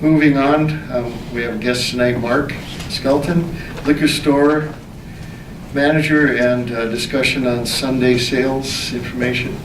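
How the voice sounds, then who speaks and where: A man's voice: medium pitch, steady tone, measured pace. Male, meeting chair, formal report reading, roomy echo, distant microphone, noisy background. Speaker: mayor chairing a city council meeting. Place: indoor council meeting room. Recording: echoey meeting room, distant mic, background noise.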